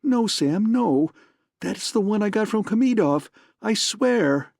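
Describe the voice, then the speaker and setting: A man's voice: high voice, animated, slow, creaky, darkish tone, no room sound, studio quality, pitched above the performer's own voice, heavily acted. A man voicing a frightened young woman pleading her case.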